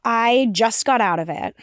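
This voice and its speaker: A young woman with a raspy voice co-hosting a casual podcast, rambling with restarts and lots of "like". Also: a little high, dry recording, studio quality. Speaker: podcast co-host. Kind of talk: casual chat.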